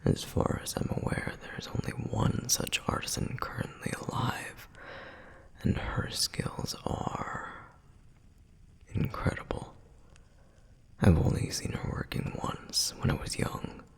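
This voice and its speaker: A man voicing a protective, reverent flame demon in an ASMR roleplay, speaking in a breathy, husky whisper with measured, gentle explanations and frequent pauses. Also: close to an everyday voice. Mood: reverent, grateful. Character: male flame demon, protective, reverent, softly flirtatious lore guide. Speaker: audio roleplay performer. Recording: long reverberant hall echo.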